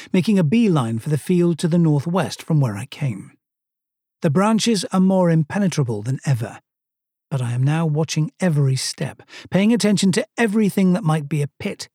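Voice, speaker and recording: A man's voice: fairly high pitch, warm tone, husky, dry recording. A man from Sweden narrating a first-person travel story with dry, self-mocking humor.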